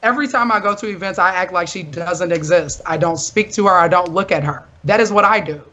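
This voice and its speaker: A young woman with a deep, loud voice, arguing firmly and defensively in a casual talk show. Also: a little fast, dull audio. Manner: firm, defensive, insistent. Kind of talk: heated casual argument.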